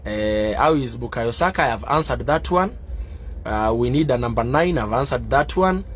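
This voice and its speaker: A man from Kenya chatting casually on a live stream as a football fan, answering viewers with many fillers and getting excitable and loud. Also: medium pitch, normal speed, a little hiss or hum.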